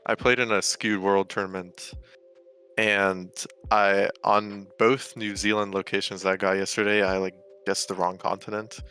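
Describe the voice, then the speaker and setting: A man's voice: on the low side, level tone, moderate pace, sharp tone, clear, resonant tone, soft background music, low-quality recording. A young American man, a guest on the show, chatting casually and rambling with lots of "like" and "um", Romanian spoken at home. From United States. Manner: filler-heavy, loose and rambling. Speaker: guest, professional GeoGuessr player. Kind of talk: casual chat.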